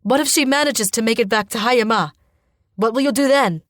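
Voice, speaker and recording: A woman acting a hot-blooded, protective young warrior prince in an audiobook, urgent and forceful. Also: high voice, animated, fast, no echo, studio quality, voice pushed up. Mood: challenging.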